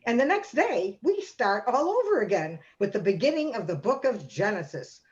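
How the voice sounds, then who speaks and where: Through dull video-call audio comes a festive, boastful holiday, the life of the party played by a woman. The voice is fairly high and animated, with wry community-theater delivery. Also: normal speed. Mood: festive boasting. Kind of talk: amateur reader's theater.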